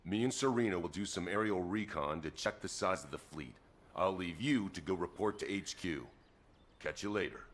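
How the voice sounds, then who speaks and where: A man's voice: low-pitched, normal speed, slight background noise, natural voice. An actor playing a steadfast male soldier pilot in game cutscene dialogue, firm and plain-spoken. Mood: brisk.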